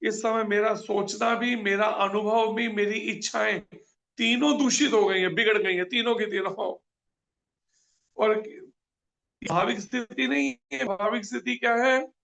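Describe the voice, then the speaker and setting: A man's voice: high-pitched, fairly even intonation, measured pace, creaky, fairly bright tone, dull audio. A man preaching a scripture class, earnest and full of rhetorical questions.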